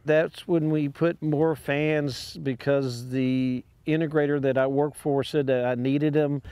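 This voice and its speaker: An older man who runs a farm, interviewed outside, speaking plainly and haltingly with "uh" and "you know". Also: on the high side, fairly even intonation, mellow, clean tone. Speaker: farmer. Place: outdoors by a farm building.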